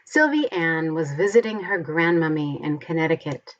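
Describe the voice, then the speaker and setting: A woman reading a storybook aloud to young children, expressively and with deliberate, careful enunciation. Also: low-pitched, animated, muffled recording. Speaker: storybook reader.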